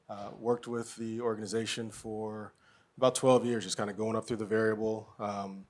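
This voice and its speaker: A man in his thirties with a lowish voice talks fast on a panel, with a flat tone. He sounds brisk and driven. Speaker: panelist, dealership owner. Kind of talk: panel talk. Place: event venue.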